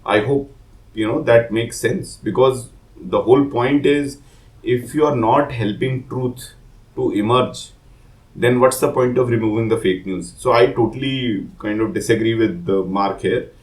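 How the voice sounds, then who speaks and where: A man's voice: mid-range voice, a little slow, a little mellow, poor audio. A man, hosting a daily tech news podcast with loose personal commentary and a voice dulled by a cold. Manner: loose and conversational, many uh and you know fillers. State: has a cold, voice not clear.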